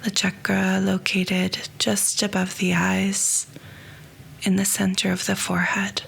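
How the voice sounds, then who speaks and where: A woman leads a guided yoga nidra meditation in a soft, hushed voice that often drops into a whisper. She speaks very slowly on a low, even pitch with a gravelly fry. A faint hiss sits under the voice. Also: rough recording.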